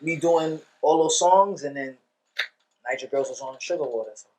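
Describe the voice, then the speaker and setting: Dude guesting on a podcast, kinda higher voice, laid back slangy storytelling. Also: male voice, normal speed, a little mellow, low-quality recording. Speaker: musician guest. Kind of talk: casual podcast chat.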